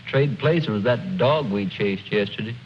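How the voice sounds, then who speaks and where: An actor playing a weary, gloomy soldier in an old war film, talking in a glum, ruminative way. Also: male voice, on the low side, lively intonation, unhurried. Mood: glum, musing. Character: weary, gloomy soldier musing on his bad luck. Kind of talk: 1950s war film acting. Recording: dull, faintly noisy old film soundtrack.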